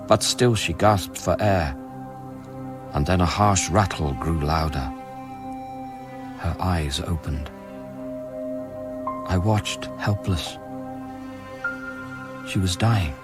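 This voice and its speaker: Haunted loving man, very deep voice, grave, measured, intimate, radio drama, clear music bed. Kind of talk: radio drama acting, first-person narration and dialogue. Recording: music under the voice.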